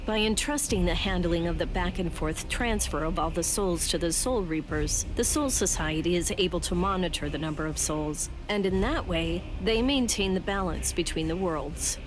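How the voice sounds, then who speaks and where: An actress playing a calm, grave woman recounting an old conflict in a measured way for an anime game dub. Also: lowish voice, lightly acted. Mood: measured, explaining. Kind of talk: English anime game dub, expository storytelling. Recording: loud background music under the voice.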